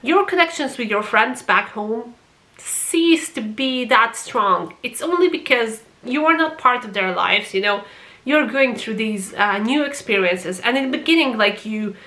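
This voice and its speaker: Woman, from Croatia, English not her first language, casual vlog, passionate, swears, bright tone, slightly high. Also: expressive, a little slow. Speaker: vlogger reviewing a TV series.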